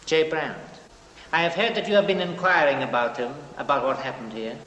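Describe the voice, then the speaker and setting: A grandiose, pious sect leader in an echoey hall. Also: male voice, a little high, expressive, fairly bright tone. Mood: smooth. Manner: pious, ingratiating, theatrical pauses. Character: smooth, grandiose Norwegian-born male sect leader. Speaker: cult leader. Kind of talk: 1960s television drama acting. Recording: echoey hall, faint hiss.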